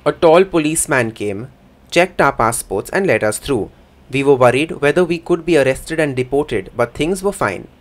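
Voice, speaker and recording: A man voicing a first-person interpretation for a signing speaker in a plain, measured way. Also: mid-range voice, lively intonation.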